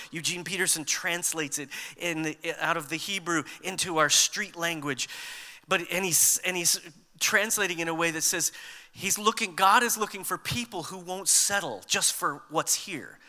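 A man in his fifties preaching a sermon as a pastor, conversational and animated, mixing scripture with humor. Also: a little high, big-room sound.

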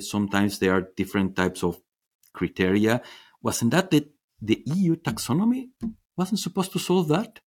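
A man hosting a conversational interview, speaking haltingly and repeating words as he goes. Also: fairly low pitch. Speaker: podcast host.

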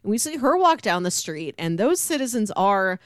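A woman's voice: medium pitch, big pitch swings, moderate pace, clear, resonant tone, no echo, studio quality. A woman hosting a podcast, chatting casually and informally in a musing way about books. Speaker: podcast host. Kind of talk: casual book discussion chat.

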